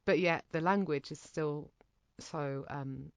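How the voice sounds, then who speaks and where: A woman's voice: deep voice, takes it slowly, dry recording. A woman interviewing a participant in a casual chat, thoughtful, working through ideas at length.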